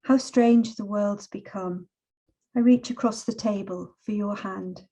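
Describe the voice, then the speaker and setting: A middle-aged woman with a mellow, unforced voice at an average pitch for a woman. She speaks reflectively and with measured phrasing, as a poet and teacher sharing personal words and verse. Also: steady tone, slight background noise. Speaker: poet and teacher, invited guest. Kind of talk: relaxed personal talk and poetry reading.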